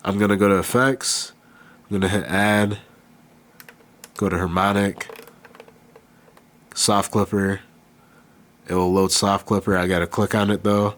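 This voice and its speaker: A man with a cold and a nasal voice, casually talking through a hands-on beatmaking tutorial, halting as he works. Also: lowish voice. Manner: relaxed, halting, thinks aloud while working. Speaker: beatmaker demonstrating gear. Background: beat playing behind.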